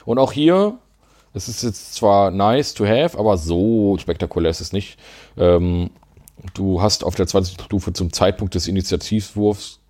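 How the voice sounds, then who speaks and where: A man with a low voice talks casually on a podcast about tabletop role-playing rules. He chats loosely, laughs often and leans on fillers as he reads through the rules. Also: warm tone. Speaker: podcast co-host.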